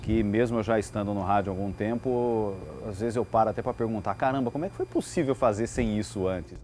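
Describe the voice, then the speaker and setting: A man's voice: on the low side, fast talker, darkish tone. A man with a Brazilian accent talking informally in an interview about his work. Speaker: radio worker. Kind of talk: interview talk. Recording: faint background ambient noise.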